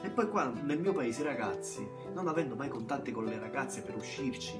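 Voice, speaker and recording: A man's voice: medium pitch, animated, a little fast, recorded from a distance, natural voice. An actor playing a bitter, plain-spoken young man from a small Camorra town, in a colloquial theatrical monologue with a Campanian accent and emphatic repetitions. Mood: bitter, confiding. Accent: southern Italian, Campanian. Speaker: stage actor. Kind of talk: theatrical monologue, first-person narration. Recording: music behind the voice. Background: plucked guitar music.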